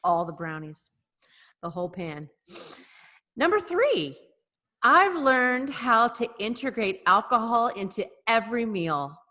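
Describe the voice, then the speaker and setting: A woman with a fairly high voice reads out a comic list on a video-call podcast with a wry, giggly delivery. Her audio has a long, echoing reverb. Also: big pitch swings, unhurried, bright, forceful tone. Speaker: podcast co-host. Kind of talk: casual chat over video call.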